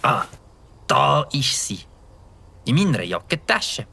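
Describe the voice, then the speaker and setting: A man playing an eager, mischievous young boy with a Swiss German accent, excitably thinking aloud in a children's audio drama. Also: medium pitch, slow, gravelly, full character voice. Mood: pondering. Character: Mike, eager mischievous young boy who loves firecrackers.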